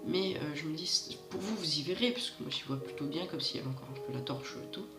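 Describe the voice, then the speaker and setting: A man's voice: on the high side, fast, echoey room, over music. A man giving casual, rambling let's-play commentary straight to his viewers, with a stuffy nose from hay fever. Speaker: gaming channel host.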